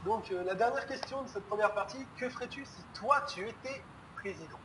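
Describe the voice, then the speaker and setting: A man's voice: sits high, reverberant, background noise. A man from Italy hosting a casual interview, joking and steering the questions. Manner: joking, quick, leads the questions. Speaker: interviewer, channel host.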